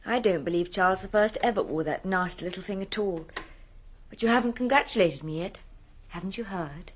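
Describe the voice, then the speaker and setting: A woman's voice: mid-range voice, brisk, natural voice. An actress playing a breezy young society woman in a radio drama, talking in a playful, pert manner. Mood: teasing.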